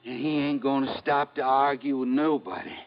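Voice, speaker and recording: A man's voice: mid-range voice, fairly even intonation, moderate pace, close to an everyday voice. An actor playing an anxious, deferential backwoods man in an old radio drama. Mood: fearful warning. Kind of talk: 1950s radio drama acting. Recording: muffled vintage radio recording.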